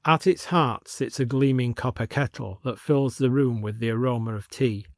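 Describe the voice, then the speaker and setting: A man narrating a scripted audio story in a measured, descriptive manner. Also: medium pitch, dry recording, studio quality.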